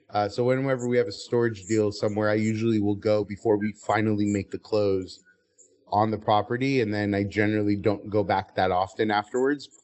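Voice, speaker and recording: Low-voiced American man explaining things. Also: darkish tone. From United States, Southern California. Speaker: podcast guest. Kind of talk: interview conversation.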